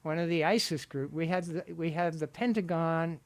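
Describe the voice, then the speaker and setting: Halting man, dry close sound. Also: a little high, dry recording. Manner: halting, laughs often. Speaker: radio discussion guest or co-host. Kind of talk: casual political radio discussion. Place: radio studio.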